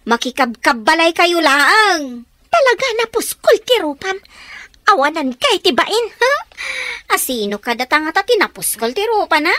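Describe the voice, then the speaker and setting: Spoiled young daughter, extremely high voice, whiny, melodramatic radio acting, dry booth sound. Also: female voice, sing-song, normal speed, sharp tone, dry recording, full character voice. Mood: indignant. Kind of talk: Ilocano radio drama acting.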